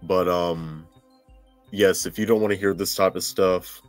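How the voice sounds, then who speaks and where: A man with a very low voice talks at an unhurried pace over faint music. He hosts a casual true crime podcast, halting and thinking aloud as he goes. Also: fairly even intonation, dry recording. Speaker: podcast host.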